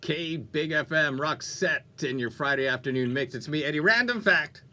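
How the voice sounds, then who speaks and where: A man's voice: a little high, moderate pace, sharp tone. A man hosting a music radio show with upbeat, playful, conversational DJ patter. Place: radio studio.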